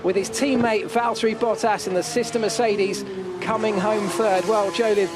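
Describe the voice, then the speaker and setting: A man giving excited live motor racing commentary as the lead commentator. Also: high-pitched, normal speed.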